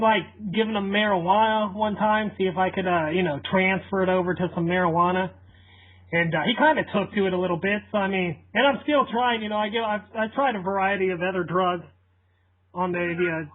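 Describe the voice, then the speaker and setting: Man playing a high-voiced, rambling, nonchalant parent. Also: brisk, natural voice. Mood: earnest, coaxing. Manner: hesitant, rambling, nonchalant salesman-like persuasion. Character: casual, shameless, negligent parent and firefighter. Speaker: prank caller. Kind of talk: deadpan improvised prank phone call. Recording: thin phone-line radio-like sound.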